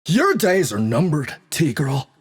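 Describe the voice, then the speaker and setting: Audiobook fantasy role: brash young godling, condescending street swagger, husky, unhurried, swinging delivery. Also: male voice, on the high side, huge pitch swings, voice pushed up, heavily acted. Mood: cocky, threatening. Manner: sneering, condescending. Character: brash, cocky young male god, streetwise and taunting.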